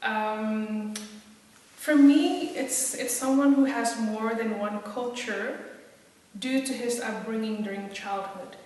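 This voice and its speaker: A woman's voice: a little high, takes it slowly, darkish tone. A woman from Indonesia who grew up in several countries, thinking aloud hesitantly as she answers in a reflective interview. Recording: very echoey room, distant mic.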